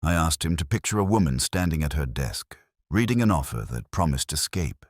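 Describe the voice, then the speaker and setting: Very deep-voiced brooding male narrator. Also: animated, dry recording, studio quality. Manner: measured, brooding, reflective delivery. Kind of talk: scripted first-person detective narration.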